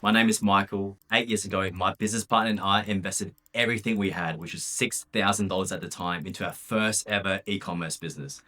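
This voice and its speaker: A man's voice: deep voice, fairly even intonation, brisk, hardly any pauses, sharp tone. A young man from Australia narrating his own business vlog in a candid, upbeat, casual way, with the odd swear word. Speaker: vlogger and business owner.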